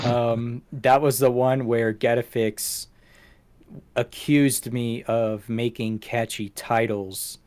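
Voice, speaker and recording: A man's voice: medium pitch, little pitch movement, slow, darkish tone, clear, resonant tone. A man hosting a casual podcast, talking through things in a rambling, self-deprecating way. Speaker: podcast host. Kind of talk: casual podcast chat, going through show stats.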